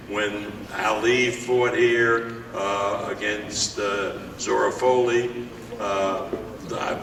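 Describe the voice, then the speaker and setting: Older new york guy, gravelly slow talker, echoey hall, far off mic with noise. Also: mid-range voice, sharp tone. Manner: slow, reminiscing with many fillers. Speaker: boxing promoter. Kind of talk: press conference remarks. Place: theater press conference.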